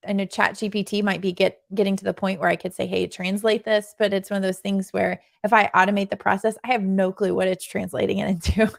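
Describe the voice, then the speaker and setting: A woman's voice: medium pitch, little pitch movement, a little fast, creaky. A woman hosting a podcast, asking curious and sometimes self-deprecating questions in a casual interview. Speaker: podcast host.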